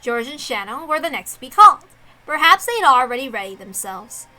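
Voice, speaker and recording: Female narrator for dramatic visual novel reading, high and bright. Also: big pitch swings, brisk, faint music behind. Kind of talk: dramatic scripted story reading with character voices.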